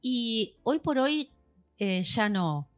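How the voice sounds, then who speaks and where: A woman's voice: fairly high pitch, animated, drawn-out words. A woman, a voice specialist guest explaining patiently in a podcast chat, like a teacher. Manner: patient, teacherly, acts out examples.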